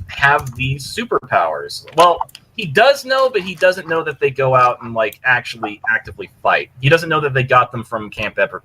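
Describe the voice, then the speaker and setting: A man with a bright, punchy voice talks as a podcast co-host. His delivery is casual and rambling, full of enthusiasm and loose swearing. There is some noise behind him. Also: medium pitch, rough recording. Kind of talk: casual chat recommending fan fiction.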